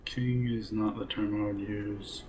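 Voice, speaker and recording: A man's voice: fairly low pitch, little pitch movement, a little slow, a little mellow, a little hiss or hum. A man improvising in character as a survivor group's surgeon in casual role-play banter. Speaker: player acting as the group's surgeon.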